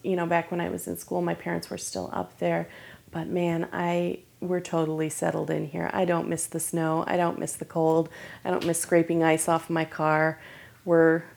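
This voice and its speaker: Woman in her thirties, from Michigan, podcast guest; lowish voice with a lot of fry, upbeat and quick to laugh. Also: completely flat delivery, a little mellow. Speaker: guest musician. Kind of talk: casual interview chat.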